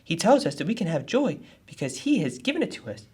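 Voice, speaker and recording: A woman voicing an earnest, husky boy puppet who explains things helpfully in a children's puppet show. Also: on the low side, sing-song, fast talker, darkish tone, full character voice. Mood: upbeat.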